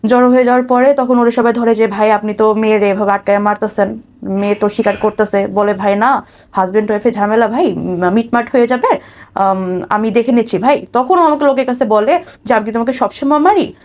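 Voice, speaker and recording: A woman's voice: fairly high pitch, fairly even intonation, brisk, a little mellow. A woman with a Bangladeshi accent telling her own life story conversationally as an interview guest, acting out what people said.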